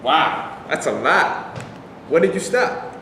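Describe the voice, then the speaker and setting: An actor plays an earnest, pedantic would-be gallant in a staged reading. His somewhat high voice sounds distant in an echoey room. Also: sing-song, takes it slowly, sharp tone, exaggerated voice. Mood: earnest, protective. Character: would-be chivalrous, literal-minded man, protective but ineffectual. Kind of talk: staged reading of comic dialogue. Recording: echoey room, distant mic, background noise.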